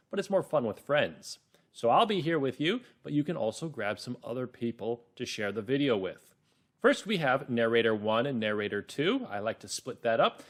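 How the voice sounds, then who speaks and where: A man hosting a children's reading lesson as a teacher, explaining and narrating encouragingly, with drawn-out emphasis. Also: medium pitch. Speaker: teacher and host.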